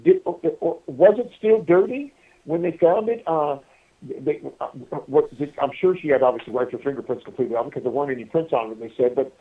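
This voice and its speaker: A man telling stories in a casual, rambling way, halting and repeating himself as he addresses his listeners over a telephone. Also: on the high side, recorded from a distance. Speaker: podcast guest calling from prison. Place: prison phone call. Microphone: telephone handset. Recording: tinny, band-limited prison phone line.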